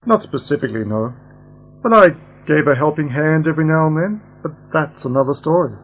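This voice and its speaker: A man's voice: fairly high pitch, lively intonation, brisk. A man playing an eccentric, pedantic time-travelling uncle in an amateur radio play. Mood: wry, lecturing. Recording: noisy, humming home recording.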